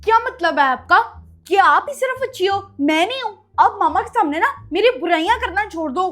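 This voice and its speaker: A mischievous schoolboy son, acted by a woman in a family comedy skit. The voice is extremely high, cheeky and bossy, with faint music behind it. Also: lively intonation, a little fast, fairly bright tone. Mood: defensive. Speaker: actor in a comic skit. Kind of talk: comic family skit acting.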